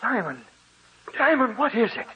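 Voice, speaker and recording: An actor playing a concerned, rational doctor, reasoning and incredulous, in the theatrical style of old-time radio drama. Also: male voice, extremely high pitch, very animated, moderate pace, bright, forceful tone, breathy edge, highs cut off, heavily acted. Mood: alarmed. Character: concerned, rational male doctor soothing a friend. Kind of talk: 1940s radio drama acting.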